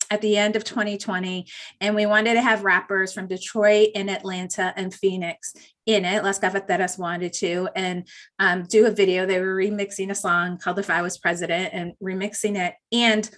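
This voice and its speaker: A loud-voiced woman at an ordinary middle pitch, talking through her ideas with plenty of fillers and restarts. She speaks as a panelist on an online panel over a video call. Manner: loud voice, thinks aloud with many fillers. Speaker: panelist, campaign director. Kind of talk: virtual panel discussion.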